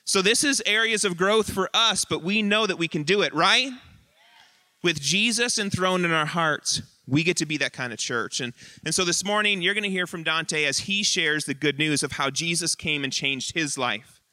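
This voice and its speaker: A man with a high, very bright and forceful voice delivers a sermon in short, earnest, emphatic phrases, pausing between lines. The sound is dry and close, with little room around it. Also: dry recording. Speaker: lead pastor. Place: church sanctuary.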